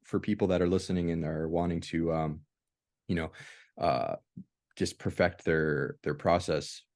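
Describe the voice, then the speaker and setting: A man hosting a casual, reflective group discussion, speaking haltingly and often circling back to rephrase himself. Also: sits low, steady tone, a little mellow. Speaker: host of a veterans' discussion group.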